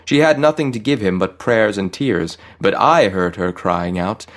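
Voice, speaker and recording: A man's voice: lowish voice, animated, moderate pace, natural voice. A man voicing a serene, joyful archangel in a story, solemnly proclaiming and reassuring. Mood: solemn, grand. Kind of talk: audio short story character reading.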